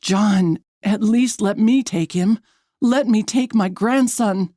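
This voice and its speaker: A woman's voice: medium pitch, slow, mellow, no room sound, studio quality, voice pushed up, natural voice. A woman voicing an anguished, protective middle-aged mother and grandmother in an audiobook, pleading tearfully.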